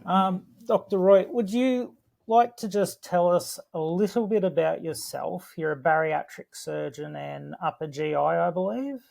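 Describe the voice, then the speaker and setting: A man's voice: sits high, unhurried, gravelly, a little mellow. A man co-hosting a podcast in casual chat, friendly and easily impressed, with some hesitations. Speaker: podcast co-host.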